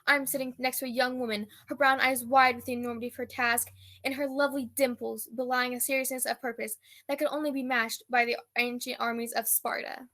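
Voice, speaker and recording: A woman's voice: high-pitched, little pitch movement, a little fast, a bit bright. An actress playing a pompous, florid female war correspondent delivering a dramatic report in a radio comedy. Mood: solemn, grandiose. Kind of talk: old-time radio news report parody.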